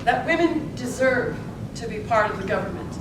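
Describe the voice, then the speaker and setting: Lady playing an old suffragist woman of 86 on stage, highish voice, earnest, deliberate, noisy echoey room. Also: lively intonation, close to an everyday voice. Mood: earnest, principled. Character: eighty-six-year-old Montana suffragist woman, grateful, principled, reminiscing. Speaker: actor in a theatre presentation. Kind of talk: theatrical interview and monologue, live stage performance. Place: indoor presentation space at a public library. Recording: echoey, distant, noisy library event recording.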